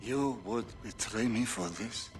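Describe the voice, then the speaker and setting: An actor playing a menacing, volatile warlord commander with a gravelly, threatening delivery, in a game cutscene. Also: male voice, medium pitch, natural voice. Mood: cold menace. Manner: slow, threatening. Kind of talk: video game cutscene acting. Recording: loud dramatic game music behind.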